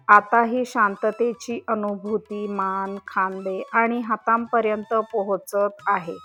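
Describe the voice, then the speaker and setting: A woman leading a devotional guided meditation in a calm, soothing, measured way with long pauses. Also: mid-range voice. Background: music.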